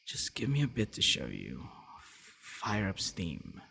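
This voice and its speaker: A man playing a gentle, devoted vampire boyfriend in an intimate ASMR roleplay, speaking softly and haltingly. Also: sits low, wildly swooping pitch, exaggerated voice. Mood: eager, defensive. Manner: halting, soft-spoken, often dropping to breathy murmurs.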